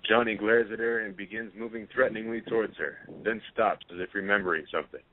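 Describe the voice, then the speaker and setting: A man's voice: on the low side, fairly even intonation, quick pace, slight background noise. A man narrating a play, reading out stage directions as scripted narration. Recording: dull, narrow phone-like sound.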